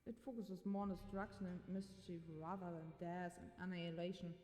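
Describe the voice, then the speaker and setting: A woman's voice: lowish voice, little pitch movement, brisk, mellow. Woman acting a stern occult expert, heavily reverberant. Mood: stern, instructing. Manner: authoritative, instructing. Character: stern, commanding young female occult expert. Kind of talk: dramatised horror story dialogue. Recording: echoey, long hall-like reverb.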